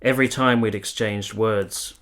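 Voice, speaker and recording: An actor playing an earnest, tender young officer in a radio play, speaking and narrating in a measured, sincere, literary way. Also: male voice, on the low side, fairly even intonation, a bit bright, close to an everyday voice. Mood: reflective, wistful.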